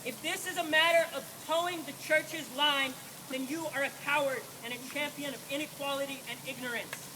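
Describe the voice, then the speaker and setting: A young student with a quiet but high voice reads a letter in a measured, pointed, indignant manner. He speaks at an outdoor rally, and loud ambient noise runs beneath him. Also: fairly even intonation. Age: 20s. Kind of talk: reading a letter aloud at a protest.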